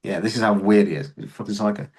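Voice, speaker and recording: A man's voice: fairly low pitch, rapid-fire, a little mellow, slightly rough. A young man, a podcast guest and serving soldier, telling candid stories in a casual chat and swearing freely.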